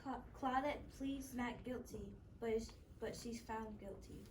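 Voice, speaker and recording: A woman's voice: a little high, fairly even intonation, unhurried. A woman reading scripted narration aloud for a readers theater play, recorded at a distance. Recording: echoey noisy room, distant mic.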